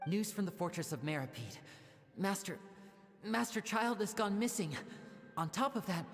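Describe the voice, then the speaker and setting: A man's voice: high-pitched, expressive, moderate pace. An obedient young male agent from a video game. He speaks formally and dutifully in a reverberant hall, with faint music behind. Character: obedient young agent reporting to a parental leader.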